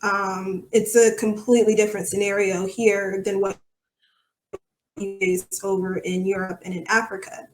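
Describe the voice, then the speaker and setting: A woman's voice: mid-range voice, level tone, unhurried, a little hiss or hum. A woman in her thirties giving candid, emphatic, opinionated answers in a conversational interview. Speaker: podcast guest, soccer supporter. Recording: echoey room.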